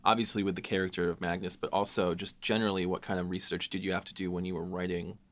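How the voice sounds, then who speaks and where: Deep-voiced man asking casual questions. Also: little pitch movement, quick pace, fairly bright tone. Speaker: podcast host asking questions. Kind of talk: casual interview chat.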